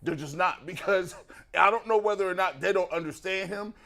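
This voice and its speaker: A man with a notably high voice for a man. He trades jokes and tells teasing stories in loose banter on a sports talk show. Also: fairly quick. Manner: joking, storytelling, lively teasing. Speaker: sports radio co-host. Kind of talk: casual banter.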